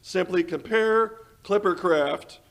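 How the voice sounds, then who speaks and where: An actor playing an eager 1940s radio announcer and sponsor pitchman, polished and emphatic in his delivery. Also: slow, a bit bright. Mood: selling. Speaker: announcer and host.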